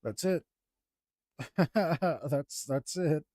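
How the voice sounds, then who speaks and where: A man talking casually to himself as he works on a digital drawing, mumbling in a relaxed way. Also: a little high, extremely slow, no room sound, clean studio sound. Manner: mumbling to himself, laid-back. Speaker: digital artist. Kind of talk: casual talk while drawing.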